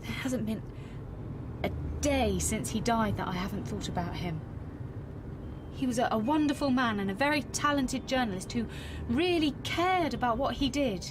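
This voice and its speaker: A woman's voice: on the high side, expressive, natural voice. Strained mother played by a woman, noisy ambience. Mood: pained, pleading. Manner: halting, defensive. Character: strained, grieving widowed mother of a schoolboy. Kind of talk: naturalistic radio drama acting. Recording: clear background noise and ambience.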